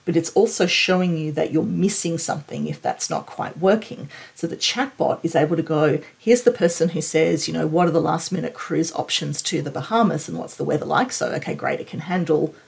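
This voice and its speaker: Husky woman presenting a tech demo. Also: sits low, fairly quick, few pauses. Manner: enthusiastic, informal. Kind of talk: explainer screen-demo commentary, conversational.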